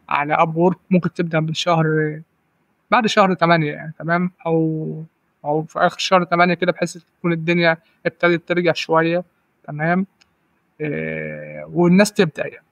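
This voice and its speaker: A man's voice: fairly high pitch, vocal fry, a bit bright, dry recording. A man in his twenties with an Egyptian accent, a podcast guest giving advice in casual talk, often repeating and restarting words and checking "right?". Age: 20s. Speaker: guest data analyst.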